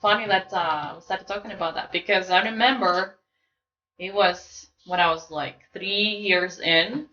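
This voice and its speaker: Bright-voiced Russian-accented woman guest. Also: lowish voice, normal speed, highs cut off. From Siberia, Russia. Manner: laughs often, brief replies. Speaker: podcast guest. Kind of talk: casual interview chat.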